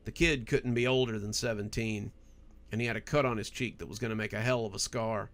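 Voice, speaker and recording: A man with a gravelly voice, hosting and narrating scripted horror stories. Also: on the low side, fairly even intonation, fairly quick, fairly bright tone. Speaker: horror story narrator and channel host.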